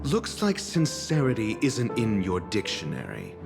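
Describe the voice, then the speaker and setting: A man's voice: fairly low pitch, wildly swooping pitch, unhurried, vocal fry, heavily acted. A man playing a composed, haughty scholar in a video game, speaking formally with dry, skeptical condescension. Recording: game music under the voice. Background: game music.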